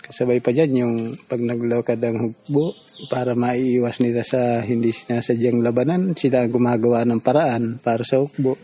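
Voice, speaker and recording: A man's voice: medium pitch, flat delivery, normal speed, mellow, rough recording. A man giving a spoken testimonial in his own words.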